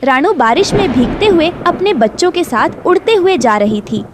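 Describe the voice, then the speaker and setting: A woman's voice: high-pitched, very animated, normal speed, background noise. A woman narrator reading a scripted children's story.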